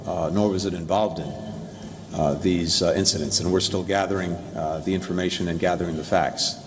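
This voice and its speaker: A man giving an official press briefing statement, choosing his words in halting, careful phrases with many pauses. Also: sits low, steady tone. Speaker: government spokesperson. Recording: long hall reverb, faint noise.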